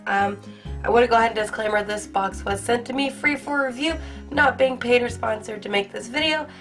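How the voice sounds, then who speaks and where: A young woman hosting an art-supply unboxing video, hoarse from a cold and gushing with excitement in a casual, rambling way. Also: on the high side. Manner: bubbly, gushing, filler-heavy. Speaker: art channel host.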